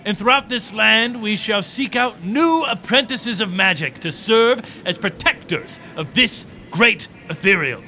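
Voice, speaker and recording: High, bright, pompous fantasy ruler played by a man. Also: expressive, a little slow, hardly any pauses, a little hiss or hum, exaggerated voice. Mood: grandiose. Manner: grandiose speechifying with petulant asides. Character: pompous, excitable fantasy kingdom leader giving proclamations. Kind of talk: comedic fantasy audio drama. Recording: music and faint crowd behind.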